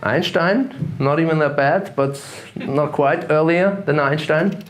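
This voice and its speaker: A man from Austria with an Austrian German accent giving a talk at a conference, halting and full of fillers and restarts. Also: on the high side. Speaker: conference speaker. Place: conference room with audience.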